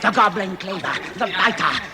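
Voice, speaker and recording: A man's voice: high voice, lively intonation. An actor playing a huge, tyrannical goblin king in a 1960s radio drama, pompous and suspicious, on a noisy vintage recording. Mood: enraged. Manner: slow, pompous, suspicious, swinging into fury.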